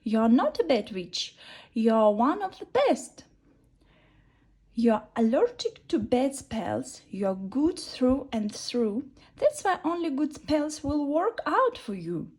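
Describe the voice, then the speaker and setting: Kind young girl, earnest and pleading, high and lilting , voiced by a woman, storybook. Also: very animated, slow, darkish tone, breathy edge, heavily acted. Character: kind young girl, calm and gently persuasive.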